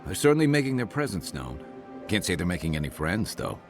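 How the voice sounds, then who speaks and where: A man's voice: deep voice, big pitch swings, fairly quick, music in the background. A man playing a wry, wary travelling companion in a fantasy video game, with dry, colloquial, sardonic delivery. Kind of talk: naturalistic game voice acting.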